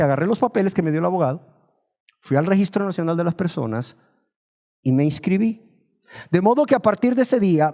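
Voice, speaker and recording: High-voiced preacher. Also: male voice, dark tone. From Nicaragua. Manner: rhetorical questions, slows to stress key words. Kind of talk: sermon.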